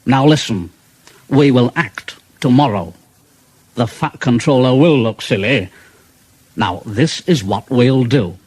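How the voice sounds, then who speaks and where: A man's voice: medium pitch, animated, takes it slowly, long pauses, darkish tone, breathy edge. A man voicing a pompous, self-important, indignant big steam engine in a children's storybook. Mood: scheming. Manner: pompous, spluttering, aggrieved.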